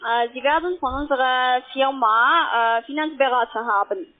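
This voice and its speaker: Casting: female phone sales agent, foreign accent, non-native, high voice, halting broken sentences, thin telephone sound. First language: not German. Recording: tinny, muffled phone line.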